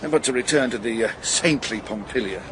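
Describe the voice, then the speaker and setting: An actor playing a cynical, jealous married gossip, sardonic and insinuating, in a radio drama. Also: male voice, mid-range voice, fairly quick, noisy background, close to an everyday voice. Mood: knowing, insinuating. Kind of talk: naturalistic radio drama acting.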